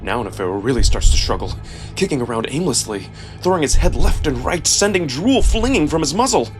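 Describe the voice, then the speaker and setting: A man reading a first-person story as dramatic, expressive scripted narration with a sense of suspense. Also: a little high, fairly quick, faint music behind. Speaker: narrator and performer.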